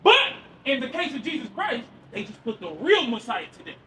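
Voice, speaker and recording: Loud high-voiced street preacher man. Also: big pitch swings, brisk. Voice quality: loud and projecting, near yelling. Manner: asks the crowd questions and answers them. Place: outdoors on a city street. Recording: far from the mic with street noise.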